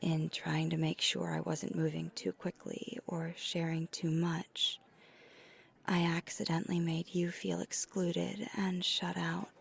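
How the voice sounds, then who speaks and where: A woman playing a tender, flirty vampire in an ASMR roleplay, speaking in a breathy, often whispered voice with earnest, hesitant pauses. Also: on the low side, level tone, full character voice. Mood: earnest, anxious. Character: flirty, tender female vampire confessing to a date. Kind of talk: soft ASMR roleplay, intimate confession.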